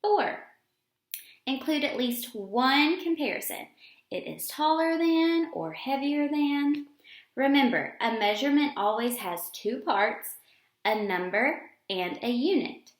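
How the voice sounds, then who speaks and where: A woman reads a children's picture book aloud in a fairly high voice that swoops expressively. She takes her time and stresses key words deliberately. The sound is roomy and echoey. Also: lively intonation. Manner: deliberate, emphatic phrasing.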